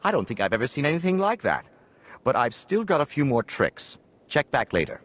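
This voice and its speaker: Brisk, confident combat trainer. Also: male voice, medium pitch, big pitch swings, a bit bright. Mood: brisk, impressed. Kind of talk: video game voice acting.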